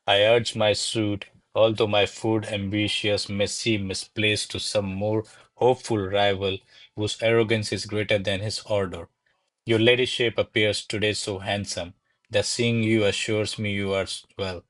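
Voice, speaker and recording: An actor playing courtly men of a classical comedy in a radio play, with formal, florid theatrical delivery. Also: male voice, lowish voice, level tone, measured pace, fairly bright tone, dry recording. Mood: pompous, ardent. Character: courtly servants and grandiloquent noble suitor of classical Spanish comedy. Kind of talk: stage-style radio play acting.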